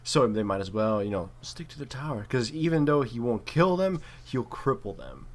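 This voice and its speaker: A man's voice: mid-range voice, very animated, moderate pace, a little hiss or hum. A man giving casual, chatty commentary on a video game replay as one of the players.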